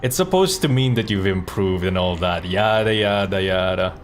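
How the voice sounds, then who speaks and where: A man's voice: low-pitched, moderate pace. A man chatting casually on a live stream as host, slangy and wry, rambling from one thought to the next. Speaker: streamer and voice actor. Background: faint music.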